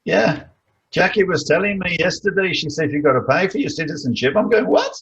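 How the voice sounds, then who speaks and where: A man's voice: fairly high pitch, animated, fairly quick, a bit bright, lo-fi sound. A man co-hosting a martial arts podcast, chatting in a jokey way and laughing easily. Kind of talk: casual chat.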